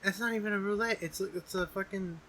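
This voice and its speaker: A young man with a bright, fairly high voice banters as a podcast co-host. He talks loosely in slang and swears often, with faint noise behind him. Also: fast. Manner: profane, slangy. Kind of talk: casual chat, banter.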